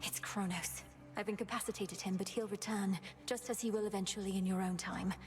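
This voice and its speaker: A woman's voice: medium pitch, fairly even intonation, fairly quick, soft background music, lightly acted. A woman voicing an earnest, determined young witch princess in a video game, speaking in a solemn, formal manner. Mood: urgent, resolute.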